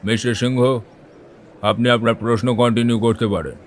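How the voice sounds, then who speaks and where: An actor playing a calm, authoritative judge presiding in a courtroom audio drama. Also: male voice, mid-range voice, a little fast, slight background noise, close to an everyday voice.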